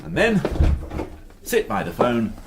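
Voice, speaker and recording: A man playing a shrewd, courteous police inspector with an English accent in a British radio crime drama, probing formally with deliberate hesitations. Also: mid-range voice, huge pitch swings, heavy background noise. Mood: brisk, commanding.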